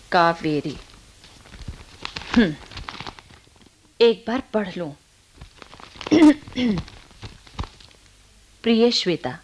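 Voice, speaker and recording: Happy young bride, slow pace, medium pitch, coy, dreamy, giggly, letter reading in radio play. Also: female voice, a little mellow, poor audio. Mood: fond. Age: young adult. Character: coy, happy newlywed bride confiding in friend.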